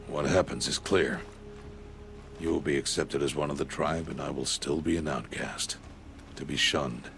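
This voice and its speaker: A man playing a stern, solemn older outcast hunter in a video game, speaking in a grave, measured, formal way. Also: a bass voice, fairly even intonation, vocal fry, fairly bright tone, soft background music, exaggerated voice. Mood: bitter resignation. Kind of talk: video game cutscene acting.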